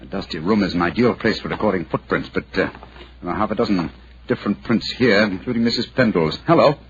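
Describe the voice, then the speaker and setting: An actor playing a brilliant, commanding British detective in a 1940s radio drama, with a clipped, theatrical delivery. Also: male voice, sits low, fast talker, natural voice. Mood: brisk, pondering. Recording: dull, noisy 1940s radio recording.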